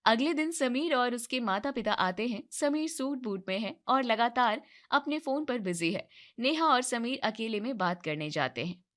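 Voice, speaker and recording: A woman's voice: fairly high pitch, expressive, normal speed, fairly bright tone. A woman narrating a scripted story.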